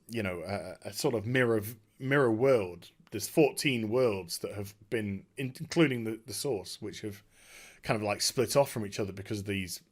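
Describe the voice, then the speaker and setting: A man talking casually and off the cuff as a video host, emphatic and excitable, often halting and repeating his words. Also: medium pitch. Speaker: video host giving a game story discussion. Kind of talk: unscripted casual rambling commentary.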